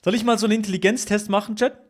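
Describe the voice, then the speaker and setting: A man's voice: sits high, steady tone, racing. A young man from Switzerland, streaming live and chatting casually with his viewers, jokey, repeating himself and teasing the chat.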